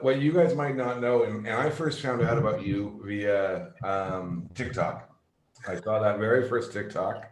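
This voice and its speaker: A man's voice: fairly low pitch, echoey room. A man hosting a casual video interview, gushing with enthusiasm about his guests. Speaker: host.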